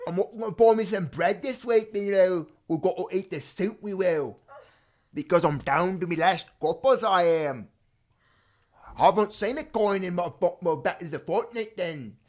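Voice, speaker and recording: A man's voice: high-pitched, moderate pace, a little mellow, voice pushed up, lightly acted. A man putting on the voice of a poor, complaining British peasant with a British accent. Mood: grumbling. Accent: British (put on).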